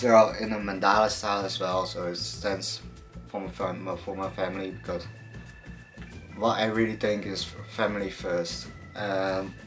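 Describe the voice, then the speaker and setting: A young man answering viewer questions in a casual fitness vlog, relaxed and hesitant, with many fillers and some casual swearing. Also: deep voice. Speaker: fitness vlogger.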